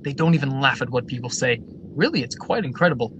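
A man's voice: medium pitch, animated, fast talker, fairly bright tone, slight background noise, close to an everyday voice. A man acting a confident, idealistic robot factory director in a radio drama, declamatory and polished. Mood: assured, amused.

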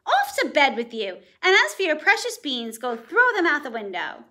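A poor, exasperated widow mother from a storybook, high-pitched and sharp-toned as she frets and scolds, voiced by a woman reading to children. Her voice swings widely in pitch. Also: sing-song, fairly quick, full character voice. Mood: scolding.

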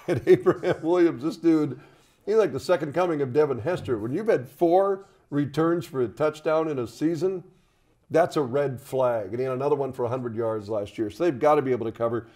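An older man in a conversational, halting studio sports discussion, often repeating words as he makes his point. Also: a little high, warm tone. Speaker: radio play-by-play commentator.

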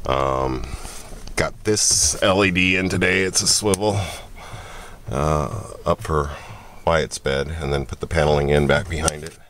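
A man with a very deep, low voice talks through a casual walkthrough, filler words scattered between his thoughts. It was recorded on a handheld camera mic with clear noise running underneath. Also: a little slow, fairly bright tone. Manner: rambling, chatty, with many ums and uhs. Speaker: vlogger showing his homemade trailer. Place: outdoors at a parking lot, then inside a small trailer.

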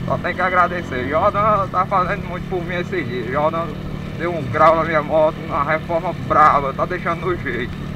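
Male motovlogger, higher-pitched, informal slangy chat, heavy noise on action-camera audio. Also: brisk. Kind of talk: casual vlog chat. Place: riding a motorbike on rural dirt roads, later a dim room. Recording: noisy action-camera audio, distant voice, motorcycle rumble.

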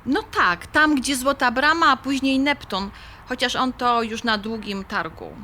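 Actress as slow, bright-voiced middle-aged woman. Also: a little high, slight background noise. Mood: puzzled. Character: Krystyna, skeptical but fond mother being courted. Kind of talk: audio drama dialogue.

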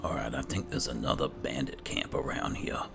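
A man giving low-key, muttered in-character commentary as he roleplays through a video game. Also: normal speed, vocal fry, noisy background. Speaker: gaming video host. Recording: game music under the voice. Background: video game music.

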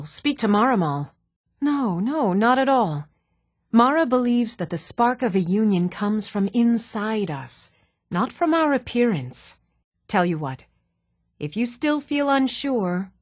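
An actress voicing earnest, even-toned townswomen in a fantasy video game, speaking in a measured, clearly enunciated way. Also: female voice, on the high side, no echo, studio quality. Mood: devout, kindly. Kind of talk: scripted video game voice acting.

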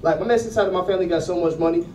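A man's voice: sits high, racing. An American man doing stand-up comedy in a casual, slangy, punchy storytelling way on a handheld microphone. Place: small comedy club stage. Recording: roomy distant club sound, loud crowd noise. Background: loud audience laughter and noise.

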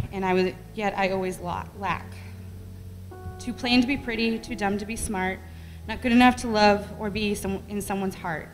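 Middle-aged female amateur poet, mid-pitched, reading at a podium in a very reverberant room. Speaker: poetry reader. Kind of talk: reading own rhyming poem aloud at an event. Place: library meeting room, at podium. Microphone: podium gooseneck microphone. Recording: echoey hall with long reverb.

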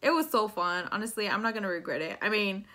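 A woman's voice: medium pitch, expressive, fast, sharp tone. A woman, telling a personal story in a casual, slangy way, slipping between English and Spanish. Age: 20s. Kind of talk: casual storytime chat to camera. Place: small plain room indoors.

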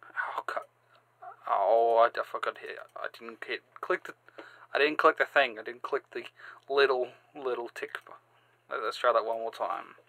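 A man hosting a step-by-step software tutorial, halting and sometimes repeating or correcting himself. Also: mid-range voice, fairly even intonation. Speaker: tutorial host. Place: indoors, screen-recording voice-over.

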